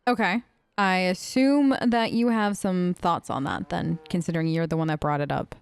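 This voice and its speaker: Smooth-voiced woman doing casual game role-play. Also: on the low side, moderate pace. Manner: conversational, improvising in character. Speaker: gaming host.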